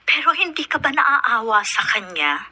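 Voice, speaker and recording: An actress playing a concerned aunt with a gentle, appealing manner in a radio drama. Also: female voice, on the high side, big pitch swings, normal speed, exaggerated voice. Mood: worried, pleading. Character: gentle, concerned aunt pleading for her niece. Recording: noisy radio drama broadcast.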